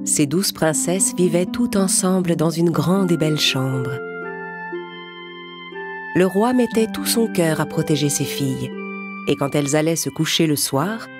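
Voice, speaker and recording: A woman narrating children's fairy tales from a script in a measured, expressive storytelling manner. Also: deep voice. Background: music under the narration.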